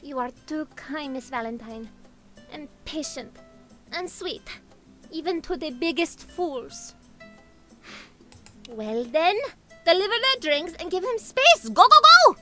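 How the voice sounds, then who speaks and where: A perky high-voiced barista. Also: female voice, sing-song, unhurried, a bit bright, faint music behind, exaggerated voice. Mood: scolding. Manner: sweet and perky to customers, scolding to her brother. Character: bubbly young woman barista, bossy with her brother.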